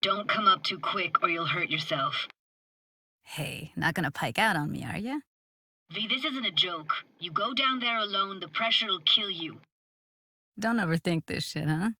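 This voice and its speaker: An actress playing a tough, sardonic but warm-hearted young woman in a video game, talking in casual, clipped slang. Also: lowish voice, moderate pace, no room sound. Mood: instructive, then teasing, dry. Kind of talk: naturalistic video game voice acting.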